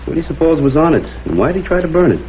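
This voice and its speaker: Dogged crime photographer, tinny old soundtrack. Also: male voice, fairly high pitch, big pitch swings, rapid-fire, slight background noise. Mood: insistent. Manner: dogged, questioning, polite but insistent. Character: persistent, shrewd male crime photographer playing detective. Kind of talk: vintage crime drama acting. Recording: muffled phone-like old soundtrack, music behind.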